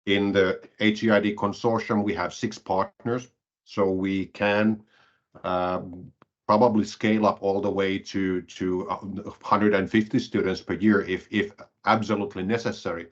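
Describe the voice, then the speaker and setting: A man's voice: on the low side, little pitch movement, moderate pace. A man representing a study programme, answering questions informally on a video call. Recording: dull, muffled online call audio.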